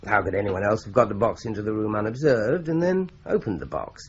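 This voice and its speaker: A measured, courteous police inspector, played by a man, asks careful questions in a low, animated voice. The recording is dull and lo-fi with a faint hiss. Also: close to an everyday voice. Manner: polite, measured, inquisitive. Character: calm, courteous Scotland Yard inspector, man.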